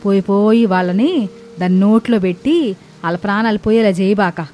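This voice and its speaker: A woman voicing a cranky, melodramatic old mother-in-law in an animated story, complaining theatrically. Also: mid-range voice, normal speed, faint music behind, lightly acted. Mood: indignant scolding. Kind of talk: animated moral story acting.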